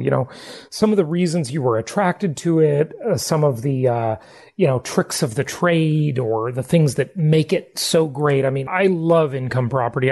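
A man's voice: medium pitch, darkish tone. A man hosting a casual interview, asking questions and adding his own remarks. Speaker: podcast host, interviewer.

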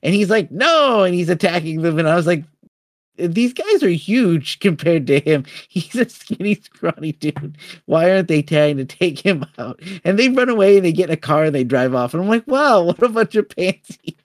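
A man co-hosting a casual film discussion, retelling scenes with animated acted-out quotes and often stumbling over repeated words. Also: high-pitched, moderate pace, no room sound, clean studio sound. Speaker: podcast co-host.

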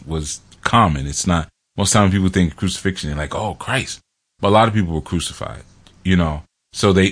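Low-voiced guy chatting casually about a movie, rambly, lots of fillers. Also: a bit bright, breathy edge. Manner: rambling, digressive, many fillers. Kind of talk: casual film commentary.

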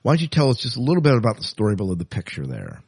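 Low-pitched male podcast host, dry close sound. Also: huge pitch swings, racing, creaky, a little mellow, breathy edge, dry recording. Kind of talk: casual chat about news.

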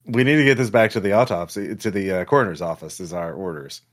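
Insistent male federal agent played by a male game master, official yet hesitant, lowish register, tabletop game. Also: animated, fast. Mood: guarded. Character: insistent male government agent securing a scene.

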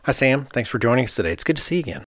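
A man's voice: medium pitch, very animated, racing, no echo, clean studio sound. A man hosting an interview podcast in a conversational style. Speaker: podcast host.

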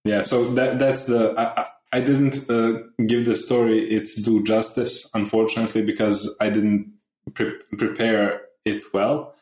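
A man talks on a podcast in an animated way, tripping over his words and restarting often. His voice sits a little low for a man. The echoey room around him is clear to hear. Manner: animated, stammering restarts, quick to laugh. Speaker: podcast guest and regular. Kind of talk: casual podcast chat.

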